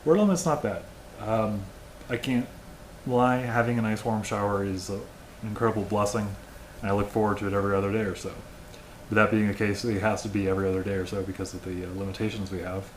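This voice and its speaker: A man's voice: on the low side, steady tone, quick pace. A man in his thirties casually answering questions as a research crew member. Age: 30s. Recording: echoey room, faint background noise.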